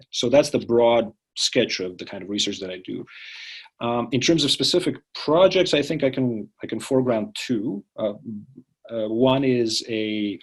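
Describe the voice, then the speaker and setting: Husky low-voiced man, hesitant academic. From Croatia. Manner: thoughtful, hesitant, many fillers and restarts. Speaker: interviewee, political science academic. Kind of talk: unscripted interview answers.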